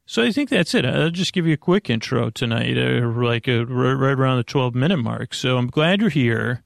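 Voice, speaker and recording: Creaky-voiced, meandering man. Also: medium pitch, lively intonation, no echo, clean studio sound. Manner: meandering, halting, stammering, trails off and restarts sentences. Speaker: host of a bedtime-story podcast to help listeners sleep. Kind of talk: rambling, improvised sleep-podcast monologue.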